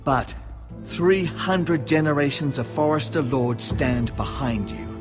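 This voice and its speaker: A man playing a grave older adviser to a young lord in a fantasy video game, formal and measured. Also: medium pitch, lively intonation, music in the background, lightly acted. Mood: solemn, instructive.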